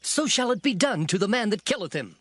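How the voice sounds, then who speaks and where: Alarmed soldier male actor, scripture drama, excited swinging delivery, dry recording. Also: high-pitched, brisk, dry recording, heavily acted. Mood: excited rumour. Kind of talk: dramatized audiobook scripture reading.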